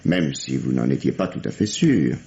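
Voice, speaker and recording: An actor playing a gruff, exasperated middle-aged police lieutenant in a vintage French radio drama, curt and sardonic. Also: male voice, rumbling low, lively intonation, moderate pace, warm tone, exaggerated voice. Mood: dry, accusing. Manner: curt, sardonic, hesitant when flustered. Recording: dull vintage radio recording.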